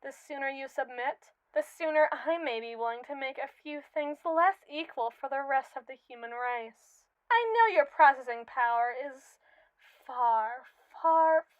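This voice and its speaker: A woman's voice: high-pitched, unhurried, no room sound, dull audio. A woman voicing a haughty, possessive evil female robot in a scripted ASMR roleplay, speaking precisely with a condescending, sardonic and imperious manner. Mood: coaxing, condescending.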